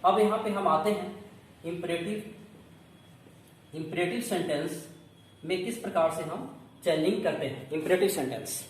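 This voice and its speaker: A man's voice: high voice, moderate pace, pauses often. A man with a North Indian accent teaching grammar in a didactic classroom style, often asking questions and answering them himself. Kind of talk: classroom-style lesson in Hindi with English terms. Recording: very echoey room, distant mic, faint noise.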